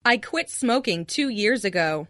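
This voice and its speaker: A woman reading short phrases for language learners, repeating each one as a clear model. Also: medium pitch, lively intonation, unhurried, a bit bright. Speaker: language lesson speaker.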